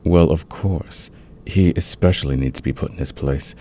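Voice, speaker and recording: A man playing a smug, flirtatious fantasy villain in a romantic ASMR roleplay, teasing and intimate with a husky, gravelly voice. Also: very deep voice, a little mellow. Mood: cocky. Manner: teasing, intimate, drawn-out confiding delivery. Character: flirtatious, smug male fantasy villain, secretly smitten rival.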